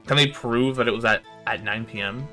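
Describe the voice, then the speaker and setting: Male streamer, bright forceful voice, casual and sweary gameplay commentary over faint game music. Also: medium pitch, fairly quick. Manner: swears freely, exasperated ranting. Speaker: streamer playing and reading a visual novel aloud.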